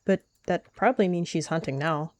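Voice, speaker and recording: Slightly low-voiced woman narrating roleplay. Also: brisk, a little mellow. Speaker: roleplayer narrating her character. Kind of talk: descriptive story narration.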